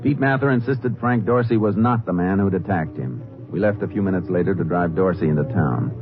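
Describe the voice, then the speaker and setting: Deep-voiced Texas Ranger played by an actor, old radio. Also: male voice, brisk, loud music behind the voice, close to an everyday voice. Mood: matter-of-fact. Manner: terse, matter-of-fact questioning and narrating. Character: calm Texas Ranger investigator narrating his case. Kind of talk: 1950s radio drama acting. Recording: muffled old radio broadcast.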